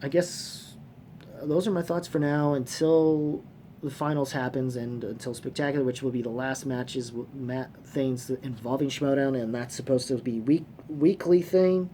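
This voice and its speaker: A man hosting a casual fan vlog, talking off the cuff in a halting, rambling way, repeating phrases and swearing casually. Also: medium pitch, warm tone. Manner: casually profane. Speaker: host of a movie trivia fan channel. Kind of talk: casual unscripted vlog commentary. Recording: clearly noisy room sound.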